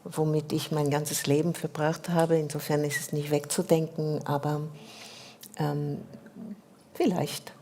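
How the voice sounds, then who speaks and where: Woman, French native speaking German, very low mellow voice, calm and reflective. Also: unhurried, slight background noise. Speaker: panel guest. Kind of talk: panel discussion.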